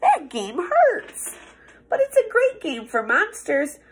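A young woman reading a picture book aloud to children like a teacher, theatrical and playful, drawing words out for effect. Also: high-pitched. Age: young adult. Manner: theatrical, expressive, playful, with drawn-out words and hushed asides. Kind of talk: animated storybook read-aloud for children. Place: small office room indoors.

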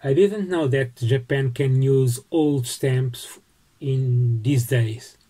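A man in his forties with a Portuguese accent, casually showing his postcards at home and talking through each one with small pauses. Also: medium pitch, warm tone, husky. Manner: unhurried, friendly, pauses with fillers while showing items. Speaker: postcard collecting vlogger. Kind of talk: casual show-and-tell vlog. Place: small home room lined with bookshelves, indoors.

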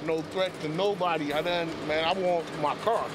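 A man's voice: fairly high pitch, steady tone, moderate pace, background noise. A man defending himself to police on the street, pleading that he made no threat. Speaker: car owner carrying a gun permit.

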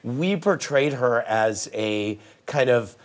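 A man in his forties hosting a talk show, speaking candidly and quickly with frequent restarts. His voice sits at a middle pitch and is picked up close on a lapel mic in a television studio. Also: animated. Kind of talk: casual interview chat. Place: TV studio set.

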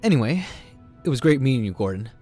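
A man acting in an audio drama as a commanding politician-tycoon, measured, polite and dryly cool. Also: mid-range voice, big pitch swings, mellow. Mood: cool, courteous. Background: music.

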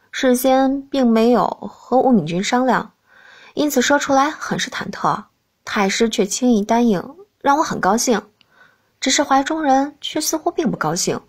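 A woman's voice: on the high side, slightly rough. A woman reading a novel aloud in the first person as scripted narration. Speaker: audiobook narrator.

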